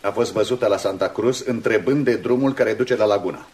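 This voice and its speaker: A man's voice: lowish voice, darkish tone, close to an everyday voice. An actor playing a haughty, imperious man in a radio drama, clipped, contemptuous and commanding. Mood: imperious, impatient. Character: haughty, imperious male antagonist demanding his way. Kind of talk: Romanian radio drama acting. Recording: noisy old radio drama recording.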